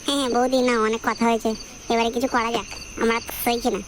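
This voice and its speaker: A man's voice: extremely high pitch, flat delivery, rapid-fire, heavy background noise. A man voicing a wheedling, sheepish young Bengali neighbour and secret lover in a comedy cartoon, always coaxing and apologising.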